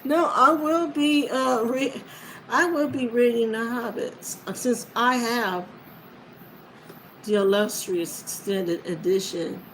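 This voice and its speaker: A woman's voice: fairly high pitch, takes it slowly, fairly bright tone, a little hiss or hum, low-quality recording. A woman chatting casually to viewers in an informal, meandering way, often trailing off mid-thought. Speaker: reader of books for listeners. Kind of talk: casual rambling chat to viewers.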